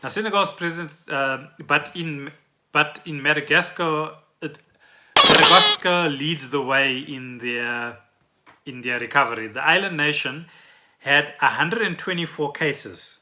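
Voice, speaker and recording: Bright, forceful South African man hosting. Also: fairly high pitch, a little slow, slight background noise. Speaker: podcast host. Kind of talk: explaining trending news conversationally.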